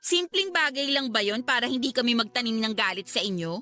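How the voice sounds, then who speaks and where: A woman's voice: fairly high pitch, brisk, bright, forceful tone, faint music behind. A woman acting an emotional student daughter in a Filipino radio drama, speaking with a Filipino accent. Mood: bitter, furious. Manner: emotional, cutting, polite address to parents. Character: hurt, angry student daughter confronting her cheating father.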